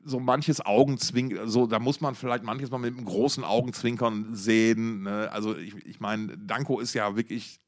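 A man's voice: mid-range voice, steady tone, moderate pace, hardly any pauses. A man co-hosting a podcast in casual, slangy, enthusiastic music talk, often repeating words as he searches for phrasing. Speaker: podcast co-host.

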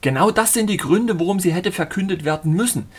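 Man doing a fervent ranting preacher, husky, pitched high and loud, audiobook. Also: lively intonation, a little fast. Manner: shouting, declamatory. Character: fanatical zealous male preacher, ranting.

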